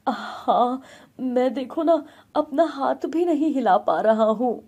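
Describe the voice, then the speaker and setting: A woman's voice: high voice, lively intonation, slow, dark tone, muffled recording, full character voice. A woman voicing an earnest, hardworking young village man in a story. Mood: weak, frightened. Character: ailing hardworking young husband and son.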